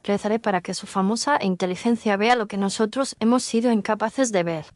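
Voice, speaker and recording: A woman's voice: medium pitch, a little fast, dry recording, studio quality, close to an everyday voice. A woman playing a loyal, devoted young Victorian fiancée in an audio story, speaking earnestly and formally. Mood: hopeful. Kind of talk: scripted audio story dialogue.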